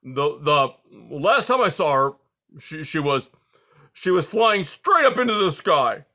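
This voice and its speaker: A man's voice: on the high side, sing-song, measured pace, voice pushed up, full character voice. A man voicing an anxious, fussy old male porcupine who stammers and wails. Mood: flustered. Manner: stammering, wailing and shouting.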